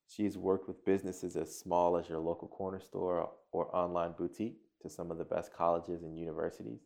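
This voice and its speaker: Very low-voiced upbeat male host. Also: creaky, darkish tone. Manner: upbeat, repeats words. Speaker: podcast host. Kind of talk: podcast interview hosting.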